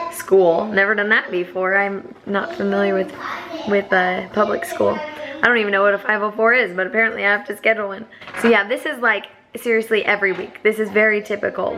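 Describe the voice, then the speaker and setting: A hoarse young woman chatting to camera as a foster parent, rambling with many fillers. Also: mid-range voice. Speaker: vlogger. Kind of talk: casual chat to camera.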